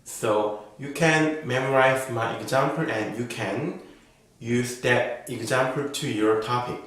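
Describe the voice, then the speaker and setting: Slow, careful man in an echoey room. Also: mid-range voice, a bit bright. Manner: slow, careful, model-answer delivery. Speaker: IELTS speaking teacher. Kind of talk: reading sample exam answers as a lesson.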